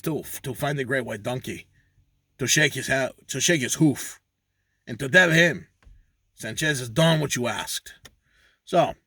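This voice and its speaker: A man's voice: a little high, bright, forceful tone, no echo, clean studio sound, exaggerated voice. A man doing a boastful hustler, Sanchez. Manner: crude, rambling, refers to himself in third person. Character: boastful male Mexican donkey handler Sanchez. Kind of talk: comedic character monologue.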